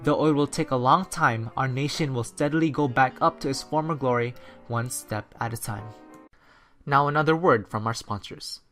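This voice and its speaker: Steady student radio narrator. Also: mid-range voice, moderate pace, soft background music. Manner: steady, read-from-script delivery. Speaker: narrator and newscaster. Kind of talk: read-aloud 1930s-style radio narration and news.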